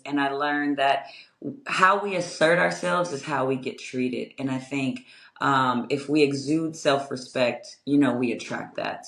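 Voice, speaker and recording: A young adult woman with a strong voice, answering interview questions in a measured, reflective way with many fillers. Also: fairly bright tone. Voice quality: strong, low-ish voice. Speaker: guest, construction company owner. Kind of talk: interview conversation. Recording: echoey room.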